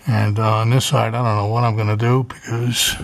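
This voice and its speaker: A middle-aged man casually narrating his home repair work, unhurried and rambling with frequent uhs and throat clearing, recorded on a handheld camera in his garage workshop. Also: lowish voice, flat delivery. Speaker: DIY home repair vlogger. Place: garage workshop and attic crawl space, indoors.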